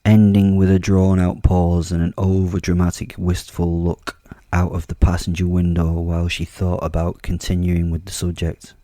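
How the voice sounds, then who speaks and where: A man's voice: deep voice, monotone, normal speed, mellow, no room sound. A man narrating a first-person horror audio drama as host, brooding and wry with a menacing edge.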